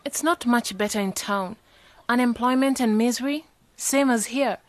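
A woman's voice: a little high, moderate pace, dry recording, lightly acted. A woman playing an earnest young graduate. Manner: earnest, patient, explaining. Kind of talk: radio drama acting.

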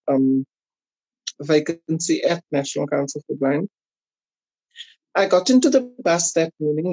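A South African woman chatting casually as an interview guest, telling personal stories in an animated way. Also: on the low side, husky, no echo, poor audio. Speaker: podcast guest.

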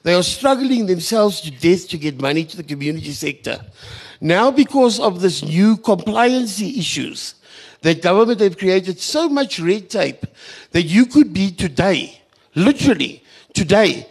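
Emphatic man with a high-pitched voice. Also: animated, measured pace, slightly rough. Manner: emphatic, repeats phrases for effect. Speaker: forum participant from a community radio station. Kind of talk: impromptu public discussion.

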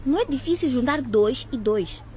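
A woman's voice: sits high, lively intonation, moderate pace, darkish tone. An actress playing an earnest teenage girl in a radio drama, apologetic with her mother but firm with her friend. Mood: accusing. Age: teens. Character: Maria, earnest pregnant teen girl.

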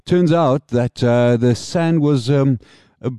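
A man from South Africa with a South African accent, co-hosting a podcast and explaining things in a measured way. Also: medium pitch, mellow, clean tone, no echo, studio quality. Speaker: podcast co-host, motorsport pundit. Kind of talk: casual analytical chat.

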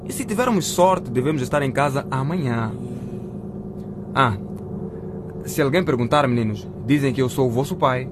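An actor playing a kindly Good Samaritan truck driver in a radio drama. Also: male voice, animated, moderate pace, pauses often, natural voice. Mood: reassuring, guiding. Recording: noisy, vehicle rumble behind.

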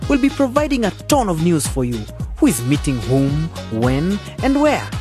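An actor playing a cocky, upbeat young radio DJ in a radio drama, talking in a showy, enthusiastic way over loud hip hop music. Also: male voice, high-pitched, huge pitch swings, moderate pace. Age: young adult. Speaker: radio presenter character. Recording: loud music bed under voice.

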